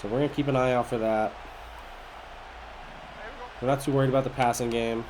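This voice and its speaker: A man with a raspy, nasal, congested voice giving casual commentary on a video game as host. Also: mid-range voice, fairly even intonation, a little fast, background noise. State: congested after being sick.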